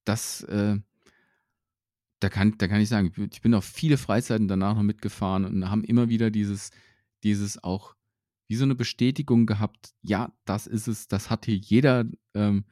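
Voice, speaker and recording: Man, reflective, hesitant, mellow tone, dry sound. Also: lowish voice, steady tone, normal speed, dry recording. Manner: hesitant, reflective, many fillers and restarts. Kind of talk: casual faith conversation.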